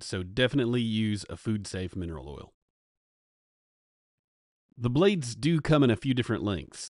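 A man's voice: lowish voice, dry recording, studio quality. A man, hosting a woodworking channel in an upbeat, jokey how-to manner from his home workshop. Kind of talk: scripted workshop narration.

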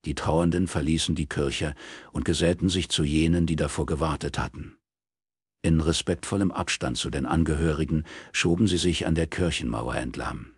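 Very deep, husky male narrator. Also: moderate pace, dry recording, clean studio sound. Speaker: audiobook narrator. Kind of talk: scripted literary narration in German.

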